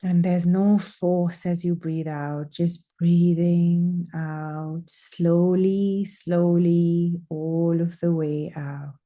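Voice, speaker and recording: A woman leading a guided breathing meditation, speaking in slow, soothing, drawn-out phrases with long pauses. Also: lowish voice, steady tone, mellow.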